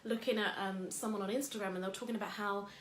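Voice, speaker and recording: A woman's voice: medium pitch, steady tone, quick pace, fairly bright tone, reverberant, a little hiss or hum. Chatty woman giving motivational tips. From England. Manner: chatty, rambling, many fillers. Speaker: host. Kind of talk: casual motivational talk.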